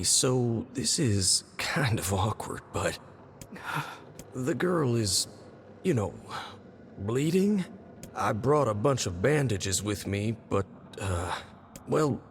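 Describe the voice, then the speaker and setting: Bashful game postman, slow and awkward, very lively pitch, some noise behind. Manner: hesitant, bashful. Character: friendly, awkward, apologetic male postman. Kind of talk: video game voice acting.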